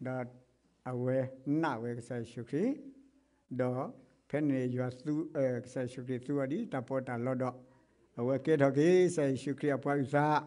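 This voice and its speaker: A middle-aged man preaching a sermon with a Karen accent. He speaks into a stand mic on a church stage, swinging between loud exclamations and quiet passages. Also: medium pitch, fairly even intonation, moderate pace. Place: church stage before blue curtain. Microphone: stand mic close to mouth.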